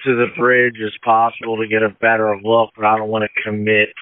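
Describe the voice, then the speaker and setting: Clear, even-toned firefighter on radio, male. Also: lowish voice, a little fast, no room sound. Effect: two-way radio. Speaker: firefighter reporting to command. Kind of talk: emergency radio dispatch traffic. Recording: narrow dry two-way radio transmission.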